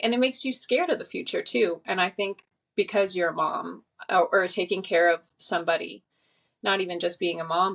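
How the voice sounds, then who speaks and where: A woman's voice: on the low side, vocal fry, fairly bright tone. A woman in her twenties, a podcast guest, talking earnestly and reflectively in casual conversation. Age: 20s. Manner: reflective, earnest, many fillers.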